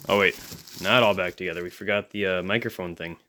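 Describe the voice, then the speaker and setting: A man's voice: low-pitched, fast, pushed and bright, a little hiss or hum. A man giving casual hands-on repair commentary in an offhand, dryly joking way. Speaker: electronics restoration video host.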